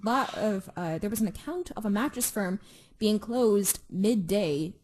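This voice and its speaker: A woman's voice: medium pitch, expressive, unhurried, darkish tone. Casual, slangy young-sounding podcast woman. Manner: informal, slangy, swears readily. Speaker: podcast guest co-host. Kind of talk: casual chat.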